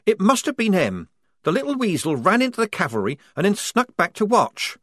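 A man reading an audiobook, voicing a quick-witted, tense young woman soldier who talks fast and insistently. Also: sits high, huge pitch swings, a bit bright, no room sound, clean studio sound, pitched above the performer's own voice, exaggerated voice. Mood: urgent. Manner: hurried, insistent. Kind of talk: audiobook character voice.

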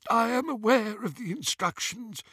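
Give this storybook goblin a very high, bright voice: an elderly bank teller, fussy and officious. His speech is formal and deferential, with words stretched out for ceremony. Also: moderate pace, slightly rough, no echo, heavily acted. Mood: eager, dazed. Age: older.

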